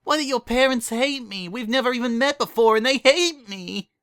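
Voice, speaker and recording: A distraught young pony mare from a cartoon storybook, voiced by a man. The voice is pitched way up and bright, with a blubbering, plaintive whimper. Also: expressive, normal speed, clean tone, dry recording, heavily acted. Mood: tearful, hurt.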